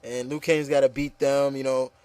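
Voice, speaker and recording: A man's voice: mid-range voice, level tone, moderate pace, dark tone, clean tone, dry recording. A man hosting a casual panel chat about movies, talking in a rambling, enthusiastic way and often saying "you know". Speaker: host of an opinion show.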